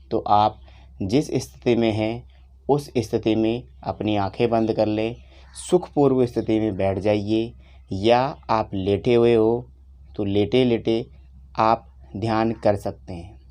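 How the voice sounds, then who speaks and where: A man leading a guided meditation, giving unhurried instructions in short phrases with long pauses. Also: on the low side, fairly even intonation, mellow. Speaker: meditation teacher.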